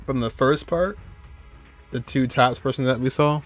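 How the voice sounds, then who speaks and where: A man's voice: medium pitch, moderate pace. Casual man reacting, faint music behind. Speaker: gaming streamer. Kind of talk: casual live reaction commentary.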